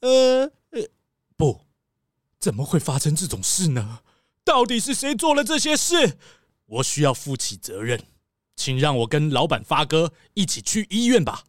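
Flustered, swooping town official. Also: male voice, on the high side, wildly swooping pitch, normal speed, no echo, clean studio sound. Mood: dismayed. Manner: fussy, stammering, exclaims "aiyo-yo". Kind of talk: children's audio drama acting.

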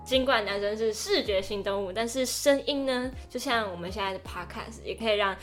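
A woman's voice: mid-range voice, fairly quick. Young taiwanese lady podcast host, chatty storyteller acting out quotes, music underneath. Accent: Taiwanese Mandarin. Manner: animated storytelling, acting out quotes and inner thoughts. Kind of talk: casual podcast chat with personal anecdotes.

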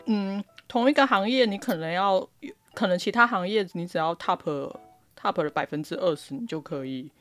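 A woman's voice: medium pitch, normal speed, a bit bright, soft background music. A woman with a Taiwanese Mandarin accent chatting casually on a podcast in a wry, joking manner. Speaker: podcast host and author.

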